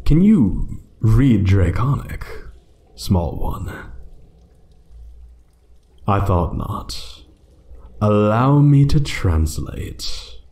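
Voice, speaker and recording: A man voicing an ancient, playful yet menacing dragon in a fantasy ASMR roleplay, speaking theatrically and condescendingly, sometimes hushed. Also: lowish voice, sing-song, extremely slow, pauses often, full character voice. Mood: smug, condescending. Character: ancient male dragon, playful, menacing hoard guardian toying with intruder.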